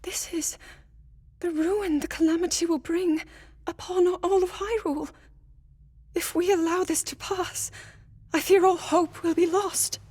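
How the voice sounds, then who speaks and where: Dutiful young princess, very high, slow, formal, music under. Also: female voice, fairly even intonation, darkish tone. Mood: fearful. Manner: earnest, formal, polite. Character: earnest young human princess, dutiful and worried. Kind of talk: video game cutscene acting. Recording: game score underneath.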